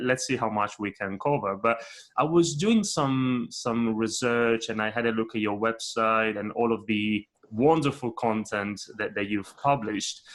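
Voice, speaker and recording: A man's voice: medium pitch, a bit bright. Enthusiastic podcast host, male. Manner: enthusiastic, restarts words, laughs along. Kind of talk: casual interview.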